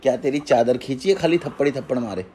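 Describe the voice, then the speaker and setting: A man's voice: mid-range voice, lively intonation, fast, mellow, husky. A man speaking as a guru advising a caller in casual phone talk, giving instructions and often checking he is understood. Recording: phone call recording.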